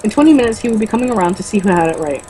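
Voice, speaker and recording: A woman's voice: medium pitch, animated, racing, warm tone, slight background noise. A woman reading a book aloud as a co-host, mocking it with sarcastic, exasperated comments.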